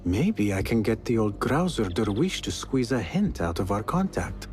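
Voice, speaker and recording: A man's voice: lowish voice, very animated, moderate pace, darkish tone, soft background music. An actor playing a cocky, playful and ambitious young male thief in a video game cutscene. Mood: quietly confident. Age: young adult.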